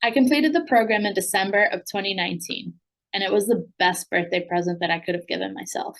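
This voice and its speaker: An American woman, a program alumna and language coach, reading a prepared speech in an earnest, steady way. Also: medium pitch, fast talker, vocal fry, low-quality recording. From United States.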